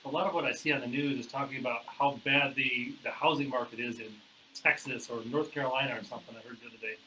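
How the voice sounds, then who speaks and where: A man broker co-hosting a casual chat, low-key and dry with wry one-liners. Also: fairly even intonation, quick pace, bright, forceful tone, slight background noise, low-quality recording. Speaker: broker co-hosting a local real estate show.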